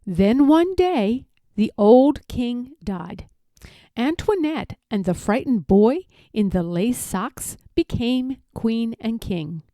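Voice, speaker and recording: Very animated woman storyteller. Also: on the high side, slow, no room sound. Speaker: read-aloud storyteller. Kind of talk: expressive children's storybook narration.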